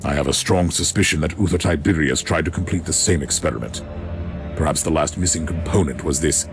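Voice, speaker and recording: A man playing a resolute, commanding inquisitor in a video game, measured and dryly confident. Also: a bass voice, music in the background. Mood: grave, resolute. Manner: measured, authoritative. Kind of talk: video game cutscene acting.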